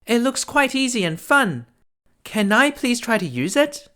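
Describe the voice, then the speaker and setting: A man's voice: very high voice, expressive, measured pace, sharp tone, pitched above the performer's own voice, heavily acted. A man voicing a curious, polite teenage princess in a storybook, eager in manner. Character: curious, polite fifteen-year-old princess.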